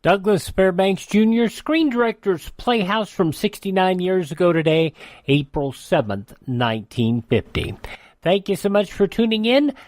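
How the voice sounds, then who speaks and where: A man's voice: high voice, expressive, normal speed, darkish tone, a little hiss or hum. A man hosting a radio show, announcing in a conversational style.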